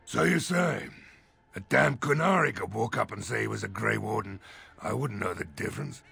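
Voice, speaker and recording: An actor playing a gruff, weary, skeptical village mayor in a fantasy video game, blunt and grumbling, with a husky voice. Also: male voice, on the low side, normal speed, bright, forceful tone, full character voice. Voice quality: gravelly, husky.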